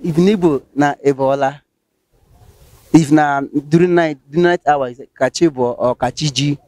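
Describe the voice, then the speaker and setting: A Nigerian man with a pidgin accent answers questions in a slightly high voice. He hesitates often and restarts his sentences. The recording is dry, with little room sound. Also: steady tone, normal speed, mellow, dry recording. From Rivers State, Nigeria. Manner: hesitant, many fillers and restarts. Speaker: interviewee. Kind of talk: casual street interview.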